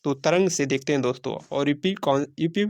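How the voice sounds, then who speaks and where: A man's voice: a little high, a little fast. A man teaching a quiz-style lesson, repeating phrases and answering his own questions. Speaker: teacher of exam-prep science class.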